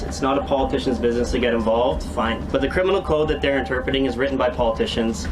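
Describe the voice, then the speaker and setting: A man speaks as a politician making a public statement. His voice is medium in pitch, earnest and emphatic, and music plays clearly underneath. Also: low-quality recording. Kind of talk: press conference speech.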